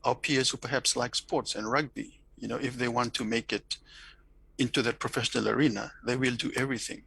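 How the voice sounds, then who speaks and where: Gravelly Fijian man, reflective interview guest. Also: medium pitch, steady tone, brisk, sharp tone, no echo, poor audio. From Fiji. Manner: thoughtful, many restarts and repeated words.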